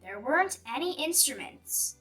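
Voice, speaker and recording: A woman reading a picture book aloud for young children in an animated, deliberate storytelling manner. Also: high voice. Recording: distant mic with music behind. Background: music.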